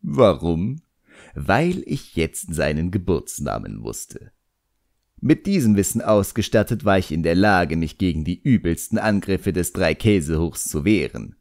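A man narrating an audiobook in the first person, expressive, wry and theatrical. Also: low-pitched, creaky, dry recording, clean studio sound.

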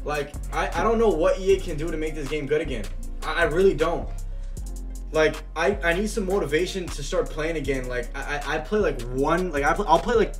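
A man's voice: fairly high pitch, fairly quick, soft background music. A young man in his late teens rambling casually over a pack-opening reaction. He uses lots of slang and fillers, and his voice is wearing thin. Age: young adult. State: losing his voice. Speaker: YouTube gaming creator.

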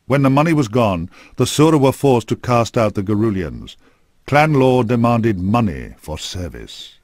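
A man narrating a scripted dark fantasy game story in a grave, measured storytelling manner. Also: mid-range voice, big pitch swings, no room sound, studio quality.

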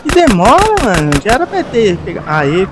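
A man's voice: sits high, wildly swooping pitch, normal speed. A man with a Brazilian accent giving casual commentary as host of a gaming video, with game noise behind him. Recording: noisy, game traffic behind voice. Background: game sounds, cars and sirens.

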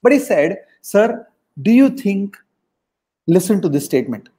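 A man's voice: high-pitched, big pitch swings, measured pace, warm tone. A middle-aged man with a South Indian accent, coaching students in a motivational webinar, repeating his points for emphasis. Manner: emphatic, repeats lines for effect, mixes in Hindi. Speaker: test prep coach. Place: home room on a video call.

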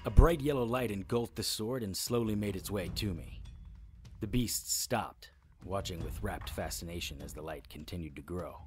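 A man playing a wry, defiant supernatural hero in a radio drama, with a husky voice and dry, noir-like storytelling. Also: low-pitched, normal speed, soft background music, close to an everyday voice. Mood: grim, intense. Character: wry, defiant male demon hunter and hero. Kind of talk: radio drama acting and narration.

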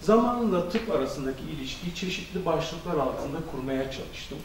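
A middle-aged man, a physician, giving a measured academic conference talk into a handheld mic, with frequent hesitation fillers. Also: a little high, animated, noisy background. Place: conference hall. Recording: echoey hall, distant camera audio.

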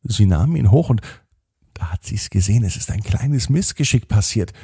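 A man narrating a children's bedtime story in a gentle, unhurried storytelling manner. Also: on the low side, expressive, gravelly, slightly rough, dry recording, clean studio sound. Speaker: podcast host and storyteller.

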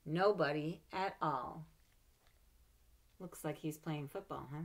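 Expressive woman reading a picture book. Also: low voice, takes it slowly. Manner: expressive, animated read-aloud with playful asides. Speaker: storybook reader. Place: home room with bookshelves. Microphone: webcam at desk.

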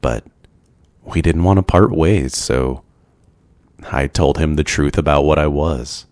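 Very deep, slow-talking brooding male vampire. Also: a little mellow, dry recording, clean studio sound. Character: brooding, lonely, ancient male vampire, gentle and courteous. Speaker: roleplay voice performer. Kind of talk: ASMR boyfriend roleplay, scripted.

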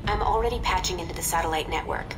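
Woman voicing a lowish, composed mission handler. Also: quick pace, distant mic, music in the background, close to an everyday voice. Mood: businesslike. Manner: businesslike, matter-of-fact. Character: composed, efficient female intelligence handler. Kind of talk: video game voice acting.

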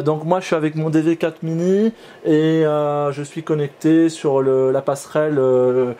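A man's voice: on the high side, dark tone, slight background noise. A man, an amateur radio operator explaining things hesitantly in an informal radio exchange. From France. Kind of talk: informal two-way ham radio exchange, demonstration. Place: indoor home radio shack.

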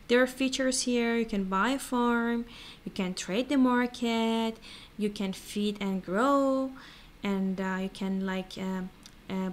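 A woman giving a casual, hesitant and rambling screen-share walkthrough as an online vlogger. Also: mid-range voice. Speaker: crypto vlogger. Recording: clear background noise.

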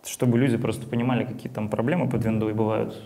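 A man's voice: on the low side, little pitch movement, quick pace, mellow. A young man giving a casual talk with a live demo at a meetup, speaking into a handheld mic and rambling with many fillers and restarts. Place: meetup hall.

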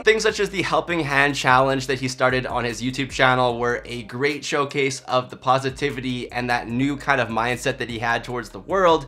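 Give me a young man with a bright, forceful voice, joking and enthusiastic as he talks rap to his camera. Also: mid-range voice. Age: young adult. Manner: chatty, enthusiastic, joking asides. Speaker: music vlogger. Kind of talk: casual rap commentary to camera. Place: small room at home.

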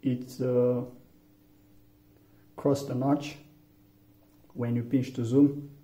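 A man from Romania with a Romanian accent, English not his first language, giving a halting phone tutorial with many fillers. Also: mid-range voice, flat delivery, warm tone. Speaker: tech tutorial maker. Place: room indoors, phone on a table. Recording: echoey, dull lo-fi room.

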